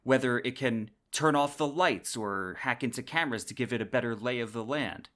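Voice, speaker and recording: A man's voice: mid-range voice, moderate pace, fairly bright tone. A man hosting a podcast, explaining science fiction in a scripted, wry and emphatic way. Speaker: podcast host.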